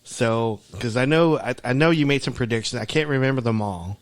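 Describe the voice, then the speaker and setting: A man's voice: medium pitch, fairly quick, dry recording. A young man co-hosting a podcast in casual, teasing chat. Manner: teasing, jokey. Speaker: podcast co-host.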